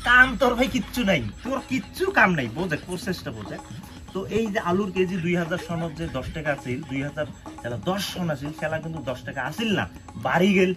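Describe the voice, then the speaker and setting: A man's voice: high-pitched, expressive, quick pace. A man playing a pushy, evasive network-marketing recruiter in a comedy sketch, speaking a northern Bengali dialect and repeatedly badgering for agreement. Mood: insistent. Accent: northern Bengali regional dialect. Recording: music laid under the dialogue.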